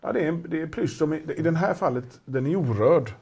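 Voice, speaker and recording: A man's voice: a little high, expressive, vocal fry, darkish tone, slightly rough. A man casually chatting as an auction presenter, rambling with many restarts and fillers. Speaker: auction specialist presenting a car. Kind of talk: casual chat.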